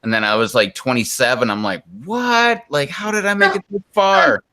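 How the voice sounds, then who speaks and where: Bright-toned laid-back man in his forties. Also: a little high, expressive, moderate pace. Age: 40s. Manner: laid-back, rambling with fillers. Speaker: streamer. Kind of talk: casual group chat.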